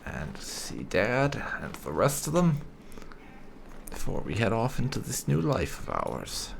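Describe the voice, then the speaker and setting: Gaming host: man, casual commentary, unhurried delivery with vocal fry, faint music behind. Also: mid-range voice, wildly swooping pitch, a little hiss or hum. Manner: laid-back, conversational. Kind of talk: casual roleplay game commentary.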